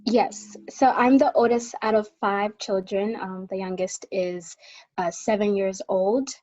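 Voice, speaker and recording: A woman in her twenties speaks as a guest in a casual interview. Her medium-pitched voice moves a little hesitantly through conversational answers. The recording is slightly dull, as on a video call. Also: fairly even intonation, measured pace, darkish tone. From born in Tanzania, in the United States since childhood. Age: 20s. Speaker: guest, college student advocate.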